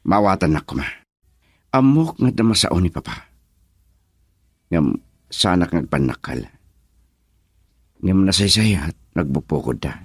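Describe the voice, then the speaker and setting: Deep, husky young husband. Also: male voice, very animated, a little slow, long pauses, no echo, clean studio sound, full character voice. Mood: earnest. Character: earnest, hardworking young husband, gentle with his wife. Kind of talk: Ilocano radio drama acting.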